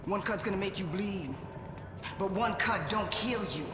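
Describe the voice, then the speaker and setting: An actor playing a menacing male gang boss who delivers a rhythmic, taunting speech and breaks into shouts. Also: high-pitched, normal speed, noisy background, close to an everyday voice. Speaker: gang leader giving a speech. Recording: echoey hall with loud music.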